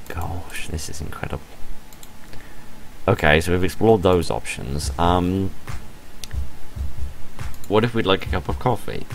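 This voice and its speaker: A British man reading a visual novel aloud as a let's play host, adding expressive, sarcastic, deadpan asides over game music. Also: sits low, rough recording. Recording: music behind the voice.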